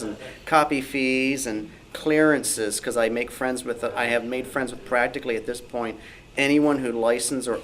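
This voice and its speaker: A man's voice: medium pitch, fairly bright tone. A man speaking as an author on a panel, explaining things in a dry, matter-of-fact way. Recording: noisy live meeting room recording.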